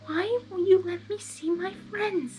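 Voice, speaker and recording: A woman voicing a gentle young female pony in a fanfiction story, soft, earnest and pleading but determined. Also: very high-pitched, fairly even intonation, a little slow, pitched above the performer's own voice. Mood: upset, insistent.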